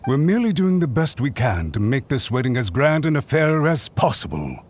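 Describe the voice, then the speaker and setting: A man's voice: mid-range voice, sing-song, moderate pace, a little mellow. A man playing a polite, eager-to-please clan retainer in a video game, formal and obliging. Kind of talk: English video game voice acting. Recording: background music under the voice.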